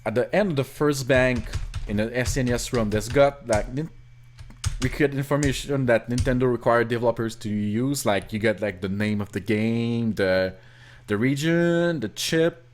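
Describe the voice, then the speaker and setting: A man's voice: mid-range voice, normal speed. A young man with a Québécois French accent, French his first language, walking through a ROM hack in a rambling, self-correcting screen-recorded tutorial at his computer. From Quebec, Canada. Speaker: ROM hacker. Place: home office.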